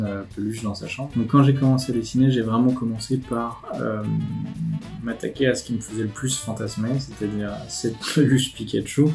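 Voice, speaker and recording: A man's voice: on the low side, gravelly, darkish tone. A man, explaining things in an informal, conversational way as a vlog host. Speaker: video host, animator. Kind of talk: casual explanatory vlog talk to camera. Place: indoors, living room with a desk. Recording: music playing behind the voice. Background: music with guitar.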